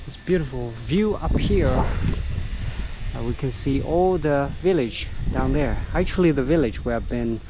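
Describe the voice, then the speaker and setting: A middle-aged man whose first language is Vietnamese, working as a local guide and narrating a casual vlog outdoors in an unhurried, halting way with many fillers. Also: mid-range voice, lively intonation, lo-fi sound, noisy background. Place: outdoors on countryside trails.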